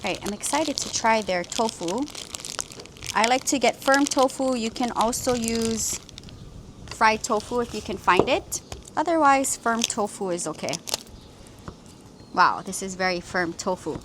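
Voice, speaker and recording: A woman's voice: a little high, expressive, a little slow, long pauses, background noise. A woman from Hawaii hosting a cooking vlog, explaining each step of a simple recipe in an upbeat way. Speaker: cooking channel host.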